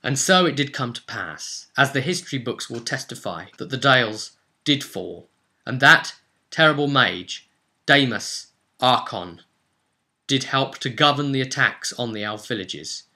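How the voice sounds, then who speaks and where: A man's voice: mid-range voice, expressive, measured pace, sharp tone. A man narrating a tabletop fantasy game as game master, dramatic and intense, repeating phrases for emphasis.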